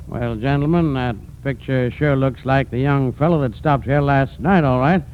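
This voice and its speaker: A man's voice: mid-range voice, moderate pace, natural voice. An actor, a man, playing a folksy, obliging tourist camp owner in a 1940s radio drama, hesitant and helpful. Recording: narrow, noisy 1940s radio recording.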